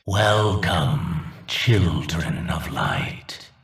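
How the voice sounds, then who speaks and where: A man voicing a menacing, sadistically playful monster villain in a video game, with a raspy, theatrical and condescending delivery. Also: extremely low pitch, big pitch swings, fairly bright tone, slight background noise, exaggerated voice. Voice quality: gravelly, raspy. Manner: slow, theatrical, mockingly condescending.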